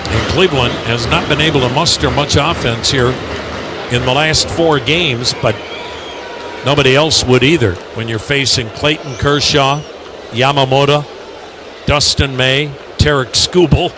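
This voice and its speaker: A man calling live baseball play-by-play on the radio in measured, clipped phrases. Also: medium pitch, fairly even intonation. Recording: loud ballpark noise behind.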